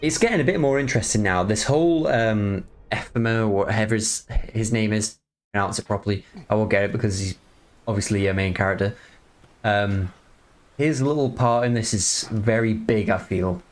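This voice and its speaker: A man's voice: lowish voice, moderate pace. A man giving casual reaction commentary as a streamer, musing aloud and often trailing off mid-thought. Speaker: streamer reacting to a fan-dubbed game movie.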